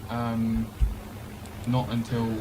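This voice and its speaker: A man's voice: medium pitch, monotone, extremely slow, background noise. A man testifying as a witness in a role-play court, hesitant and full of ums and restarts.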